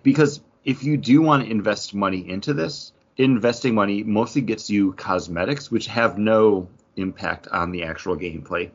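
A man's voice: lowish voice, moderate pace, hardly any pauses, breathy edge, dull audio. A man hosting a podcast, giving thorough, casual explanations with enthusiasm. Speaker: podcast host explaining a game.

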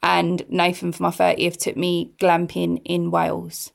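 A woman's voice: on the low side, completely flat delivery, measured pace, creaky. A woman in her thirties co-hosting a podcast, chatting frankly with casual, informal phrasing. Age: 30s. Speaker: podcast co-host. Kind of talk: casual chat.

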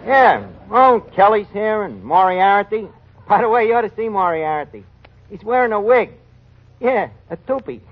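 Slangy, high-pitched New tavern manager. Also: male voice, very animated, moderate pace, a little hiss or hum. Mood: chatty, wisecracking. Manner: slangy, ungrammatical, mock-important. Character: scheming, malapropism-prone tavern manager, streetwise blusterer. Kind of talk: 1940s radio comedy acting. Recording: dull old 1940s radio recording.

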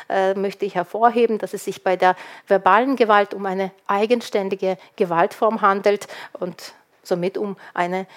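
Woman giving a measured lecture. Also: medium pitch. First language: Ukrainian. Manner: measured and explanatory, with occasional hesitation fillers. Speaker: linguist presenting survey research. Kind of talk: prepared lecture talk.

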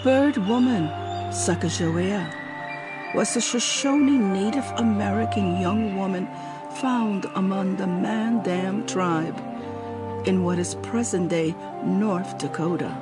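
Slow-paced woman narrating over loud music. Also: medium pitch. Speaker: promo narrator. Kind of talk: scripted promotional narration.

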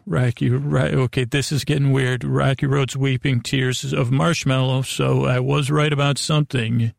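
A man hosting a podcast with a silly improvised monologue to an imaginary guest, halting and stammering as he meanders and restarts in mock earnest. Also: medium pitch, vocal fry, dry recording, studio quality. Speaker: podcast host.

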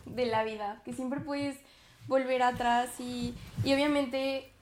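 Brief: twenties woman, casual podcast host, high bright pitch, talks in a roomy space. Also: fairly even intonation, takes it slowly, slight background noise. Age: 20s. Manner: chatty, many fillers and self-conscious laughs. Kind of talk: reflective casual monologue.

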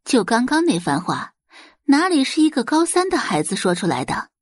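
A woman's voice: on the high side, huge pitch swings, moderate pace, dry recording, clean studio sound. A woman voicing an admiring middle-aged schoolteacher in an audio drama, approving and emphatic.

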